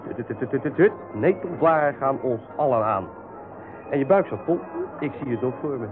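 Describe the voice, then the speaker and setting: Male actor as flirtatious scheming rogue, animated pitch, teasing, radio play, narrow tinny recording. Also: fairly quick, noisy background, natural voice. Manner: wheedling, teasing.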